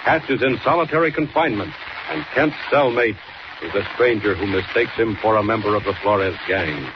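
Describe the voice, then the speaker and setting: A man's voice: on the low side, moderate pace. Casting: male narrator for old-time radio adventure, dramatic and suspenseful, dull hissy period recording. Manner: declamatory, suspenseful. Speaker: announcer and narrator. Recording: muffled, noisy 1940s radio recording.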